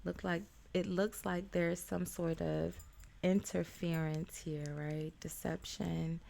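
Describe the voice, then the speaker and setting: A woman giving a casual tarot reading, stuffed up with a cold, talking in a chatty, halting way with many ums. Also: fairly low pitch, level tone, creaky, a little mellow. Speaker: tarot reader.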